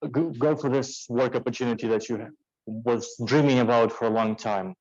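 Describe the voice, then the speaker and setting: A man with a medium-pitched voice delivers an off-the-cuff club speech. He pushes his points with rhetorical questions and short commands. The sound is dry and a bit dull. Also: fairly even intonation, normal speed, a little mellow, no echo. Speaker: impromptu speaker at a speaking club. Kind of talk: impromptu motivational speech.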